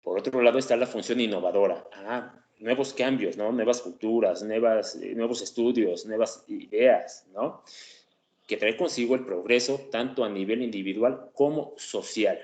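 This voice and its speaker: A man gives an explanatory lecture on education, his voice sitting on the low side. He keeps it conversational, dropping in filler sounds and little tag questions to check that listeners follow. The room around him is echoey and reverberant. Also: moderate pace, darkish tone. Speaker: teacher presenting a class topic.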